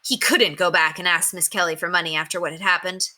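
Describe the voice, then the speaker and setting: A woman narrates a children's book in an expressive, animated read-aloud style. Her voice sits a little low for a woman and has a bright, forceful edge. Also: fast talker. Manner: animated, acts out dialogue.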